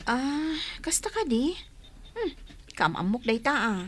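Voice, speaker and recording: Animated high-voiced elder sister played by a woman. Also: takes it slowly, exaggerated voice. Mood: thoughtful, curious. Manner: conversational, expressive. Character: chatty, teasing elder sister. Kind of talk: Ilocano radio drama acting.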